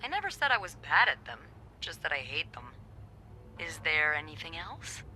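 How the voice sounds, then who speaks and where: A playful, sardonic young receptionist in a 1950s mystery game. Her voice is strikingly bright and forward, and her pitch moves a lot as she teases and quips. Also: moderate pace, a little hiss or hum, heavily acted. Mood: teasing, lively. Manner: wry, teasing, expressive. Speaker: hotel receptionist. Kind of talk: conversational video game acting.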